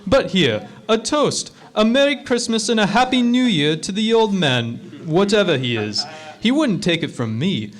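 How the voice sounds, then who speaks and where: A man's voice: high voice, very animated, moderate pace. A man playing a jovial, good-natured nephew hosting a party, merry and declamatory in a radio drama. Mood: hearty toasting. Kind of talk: school radio drama acting.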